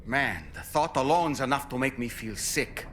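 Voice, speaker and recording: A man acting as a gentle, worried, compassionate character in a video game, speaking reflectively. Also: medium pitch, normal speed, slight background noise, close to an everyday voice. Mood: troubled.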